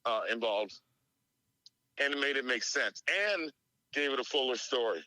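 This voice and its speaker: A man with a bright, sharp voice and very little echo calls in over speakerphone. He gives casual opinions, stumbling and repeating words, with some swearing mixed in. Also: medium pitch, normal speed. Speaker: podcast call-in guest. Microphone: phone call played on speakerphone.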